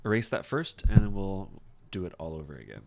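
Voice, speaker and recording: A man teaching software in a casual screen-share tutorial, thinking aloud informally as he demonstrates each step. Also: low-pitched, very animated, fairly quick, creaky. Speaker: software instructor.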